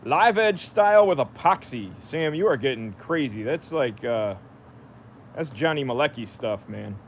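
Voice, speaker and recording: A man's voice: lively intonation, unhurried. An American man talking casually and unscripted as a livestream host, rambling half to himself while he works. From United States. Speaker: livestream host, DIY woodworker. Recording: noisy workshop, machinery rumble.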